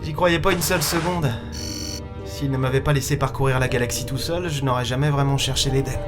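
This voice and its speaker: Space captain , male actor: weary lone human, mid pitch, brooding narration and casual dialogue, scored sci-fi audio drama. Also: fairly even intonation, fairly quick, lightly acted. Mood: wistful. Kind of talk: science-fiction audio drama, first-person narration and dialogue. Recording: music under the voice.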